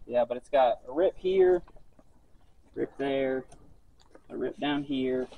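A man's voice: medium pitch, little pitch movement, takes it slowly, vocal fry, phone-quality sound, slight background noise. A man hosting a casual vlog, talking in an easygoing way outdoors. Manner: easygoing, swears when frustrated. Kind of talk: casual chat.

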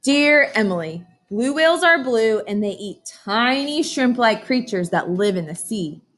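A polite male schoolteacher from a storybook, read by a woman. The delivery is measured and deliberate, patiently correcting, with lively pitch movement. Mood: patient, firm. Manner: measured, deliberate, formal letter reading.

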